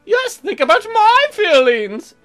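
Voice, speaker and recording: A very high, wildly swooping horse voice, performed by a woman, brazen and needy. The words are drawn out and whooped in a lewd, comic visual novel style. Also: sing-song, slow pace, soft background music, heavily acted. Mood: hurt, indignant. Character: lewd, needy talking horse who loves being ridden.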